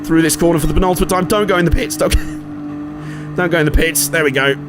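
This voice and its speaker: A man with a slightly hoarse voice from a cold, casually commentating his own racing game with jokes and swearing. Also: a little high, fairly even intonation, fairly quick, noisy background. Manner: chatty, jokey, sweary, reacting aloud to the race. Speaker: gaming channel host.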